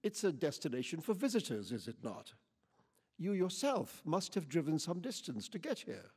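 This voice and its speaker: A courtly older traveler answers with calm, formal politeness, voiced by a man reading his own story. The voice stays mild and even, with a gravelly texture. Nothing in it rises to meet the provocation. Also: on the high side, moderate pace, in a large hall, pitched above the performer's own voice, exaggerated voice. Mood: mild polite.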